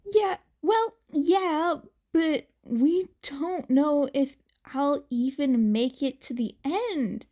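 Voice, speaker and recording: A woman's voice: sits high, very slow, hardly any pauses, mellow. Woman doing a bubbly, eager teen girl. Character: upbeat, loyal teenage girl.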